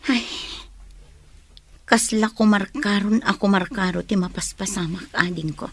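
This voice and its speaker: An actress with an Ilocano accent playing a worried, protective older sister in a radio drama. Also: female voice, medium pitch, measured pace, breathy edge.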